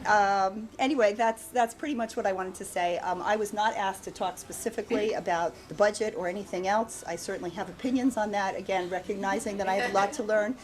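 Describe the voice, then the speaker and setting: A woman's voice: mid-range voice, fairly quick, few pauses. A woman finishing short candidate remarks, hesitant and full of "um" fillers. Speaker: school board candidate. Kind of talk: closing remarks of a short statement.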